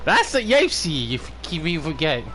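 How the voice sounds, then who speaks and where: High-pitched, animated male game streamer. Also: normal speed, background noise. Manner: sweary, giggly, rambling reactions. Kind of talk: casual live gameplay commentary.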